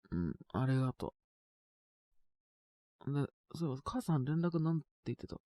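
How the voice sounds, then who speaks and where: A man playing a sick, stubborn but shy teenage boy in an intimate Japanese audio drama, speaking in a halting, casual, congested mumble. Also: on the high side, wildly swooping pitch, no echo, heavily acted. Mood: bashful, reassuring. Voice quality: congested cold-sick voice with coughs.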